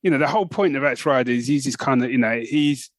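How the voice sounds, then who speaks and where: A man's voice: mid-range voice, quick pace, no echo, clean studio sound. A man co-hosting a podcast, chatting casually and enthusiastically, with frequent restarts. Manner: enthusiastic, rambling with many restarts. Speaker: podcast co-host. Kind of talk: casual chat.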